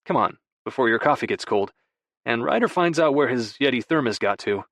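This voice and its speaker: Audiobook role: devoted young husband, quiet, tender, lightly playful, mid-pitched, read by a male narrator, dry close recording. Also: expressive, a little fast, dry recording. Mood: gentle, caring. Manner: quiet, intimate, affectionate. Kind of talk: audiobook dialogue.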